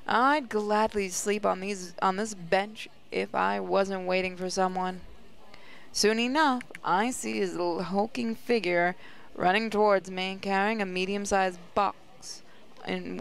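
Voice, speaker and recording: A woman's voice: mid-range voice, smooth. A woman gaming host reading visual novel narration aloud, stumbling over the odd word as she goes. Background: prominent music.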